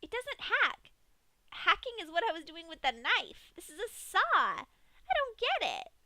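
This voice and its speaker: A woman's voice: very high-pitched, sing-song, measured pace, sharp tone, no echo, voice pushed up, full character voice. A woman voicing a cheerful, sadistic female pink pony in a bubbly, sing-song way. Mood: gleeful. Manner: chirpy, sing-song, unbothered.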